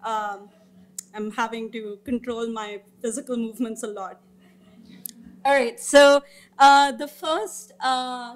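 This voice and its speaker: Woman researcher doing a panel presentation, slightly high voice, kinda halting with pauses mid sentence, formal but some casual asides. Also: a bit bright. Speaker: researcher presenting report findings. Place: indoor meeting room or auditorium, seated at a panel table. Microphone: gooseneck table microphone.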